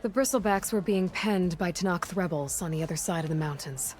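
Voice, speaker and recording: A woman's voice: lowish voice, fairly quick, a little mellow, close to an everyday voice. An actress playing a determined young woman hunter in a video game, direct and blunt. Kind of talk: video game cutscene acting.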